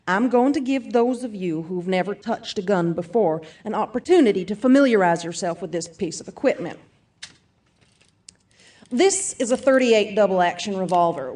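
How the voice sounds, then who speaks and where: A woman's voice: medium pitch, a little mellow, recorded from a distance, lightly acted. An actress playing a brash, upbeat self-defense seminar speaker who addresses her audience emphatically in an audio theatre piece. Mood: instructive. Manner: emphatic, peppy motivational-speaker delivery, folksy asides.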